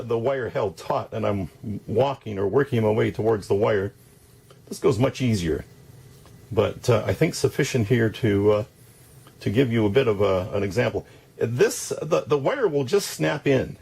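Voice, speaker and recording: DIY demonstrator, male, gravelly and rough-edged, informal, halting step-by-step delivery. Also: mid-range voice, darkish tone. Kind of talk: casual hands-on tutorial.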